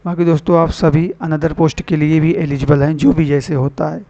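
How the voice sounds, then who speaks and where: A man speaks in a fairly high register, explaining things informally like an online tutor. He repeats and rewords important points and addresses students as friends. The sound is somewhat muffled and low in fidelity. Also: level tone, fairly quick, warm tone. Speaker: online exam-prep teacher and channel host. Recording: dull lo-fi voice recording.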